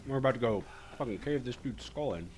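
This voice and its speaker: A man's voice: mid-range voice, lively intonation, fast, a little mellow. A man streaming a video game live, chatting loosely and rambling, with casual swearing while he eats. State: eating while talking. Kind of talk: casual live gameplay commentary.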